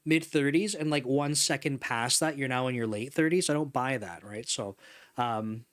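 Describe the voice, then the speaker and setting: A man's voice: mid-range voice, moderate pace. A man in his thirties hosting a casual livestream from a home studio, chatting at length, laughing often and reading chat messages aloud. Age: 30s. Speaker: livestream host. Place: home studio room with couch and neon lights. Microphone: desk microphone in front.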